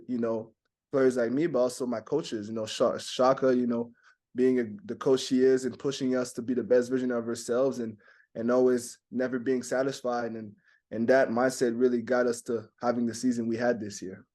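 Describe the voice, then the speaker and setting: A young man from Montreal, a native French speaker, answering interview questions in a halting way with many fillers and restarts. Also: mid-range voice, level tone, dark tone. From Montreal, Canada. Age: young adult. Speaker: basketball draft prospect.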